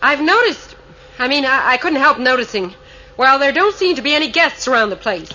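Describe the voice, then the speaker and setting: A woman's voice: high voice, animated, brisk, sharp tone, highs cut off, a little hiss or hum. An actress playing a commanding, businesslike female starship captain in a radio drama. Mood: probing. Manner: authoritative, businesslike.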